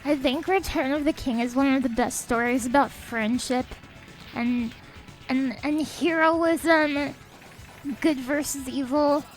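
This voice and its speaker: Young woman, high voice, sniffly, soft-spoken, casual game-stream chat, faint music behind. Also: slow pace, poor audio. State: sniffly, a bit stuffed up.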